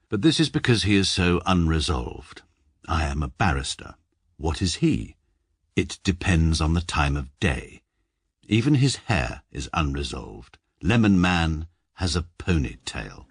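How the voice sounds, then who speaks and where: An English actor playing a stiff, pedantic middle-aged male barrister who narrates in a dry, clipped, deadpan manner for a radio comedy drama. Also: low-pitched, unhurried, husky, dry recording, exaggerated voice.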